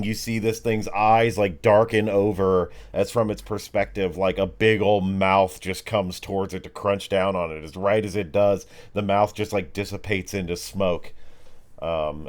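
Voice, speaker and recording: Man, deep voice, game master, casual tabletop narration, slangy, descriptive.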